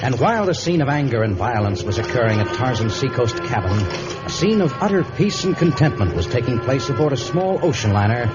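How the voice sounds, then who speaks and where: A man giving scripted dramatic narration for an old-time radio adventure. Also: medium pitch. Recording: muffled old radio recording. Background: loud music.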